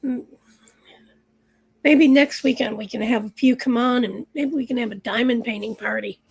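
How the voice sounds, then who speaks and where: A woman hosting a livestream, chatting casually and rambling with viewers while her voice is going hoarse. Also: on the high side, normal speed, low-quality recording. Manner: chatty, rambling, lots of fillers. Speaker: livestream host. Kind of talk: casual chat with live chat viewers.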